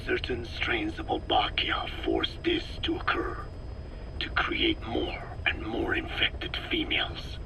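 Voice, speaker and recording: Whispering, gravelly old scientist. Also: male voice, fairly low pitch, sing-song, exaggerated voice, rough recording. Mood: grave, explanatory. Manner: slow, deliberate, lecturing. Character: elderly male scientist, frail, erudite, grave. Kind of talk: video game audio drama acting.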